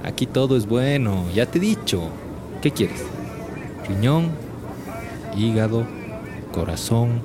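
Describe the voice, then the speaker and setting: An actor playing a crooked, pushy market butcher in a radio drama, with a Bolivian accent and a slangy, singsong vendor's way of talking that wheedles and bullies. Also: male voice, mid-range voice, expressive, slow pace, pauses often. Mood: coaxing. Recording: clear outdoor street noise behind.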